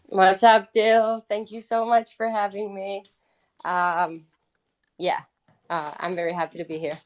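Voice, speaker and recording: A woman's voice: medium pitch, level tone, unhurried. A woman from Italy, a native Italian speaker, chatting informally as a musician guest in an interview. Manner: informal, peppers talk with "like". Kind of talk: casual interview chat.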